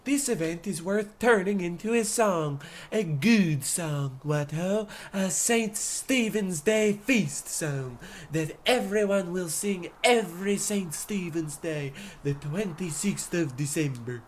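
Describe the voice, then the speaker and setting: A pompous medieval monarch in a radio play parody. He declaims slowly and theatrically, very pleased with himself and careless of those beneath him. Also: sits high, animated, heavily acted. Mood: grandly jubilant. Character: pompous, self-admiring, callous medieval king. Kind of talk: parody of old radio drama.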